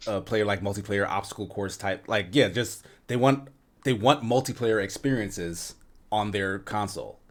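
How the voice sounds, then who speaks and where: Male podcast panelist, slightly low register, informal, conversational speech about games. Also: moderate pace. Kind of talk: casual chat.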